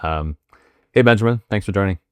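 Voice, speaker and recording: A man hosting a podcast interview, asking questions in a halting way with many fillers and restarts. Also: on the low side, clear, resonant tone, dry recording. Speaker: podcast host. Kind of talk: casual interview with read ad intro.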